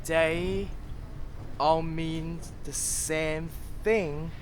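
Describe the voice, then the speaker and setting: A man's voice: fairly high pitch, fairly even intonation, drawn-out words. A young man acting a hesitant, thoughtful linguist in an audio drama. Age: young adult. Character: hesitant, thoughtful speaker of several languages. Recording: faint background noise, home recording.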